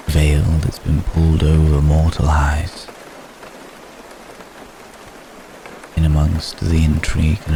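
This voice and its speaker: Very deep, gravelly vampire gentleman played by a man. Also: exaggerated voice. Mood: grave, warning. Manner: formal, measured, solemn, with long pauses. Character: male vampire gentleman, ancient, brooding, remorseful, tender. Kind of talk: ASMR roleplay, intimate slow dramatic monologue. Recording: steady rain noise behind the voice. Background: rain.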